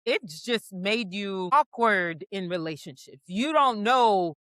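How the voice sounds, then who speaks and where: A woman's voice: medium pitch, animated, slow, a bit bright, no echo, clean studio sound. A woman counselor in a faith-based podcast conversation who speaks earnestly and addresses listeners directly.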